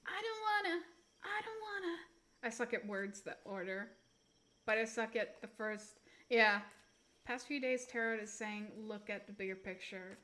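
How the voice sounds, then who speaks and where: A woman's voice: on the high side, animated, normal speed, sharp tone. A young woman reading tarot on a live stream, rambling and giggling as she chats straight to her viewers; English is not her first language.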